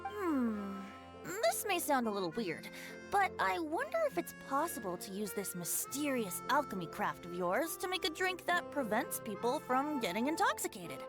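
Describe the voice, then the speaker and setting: A woman's voice: high voice, very animated, moderate pace. A woman playing a breezy, teasing young drinker in a video game cutscene, with a playful theatrical manner. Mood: curious. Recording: game music behind the voice.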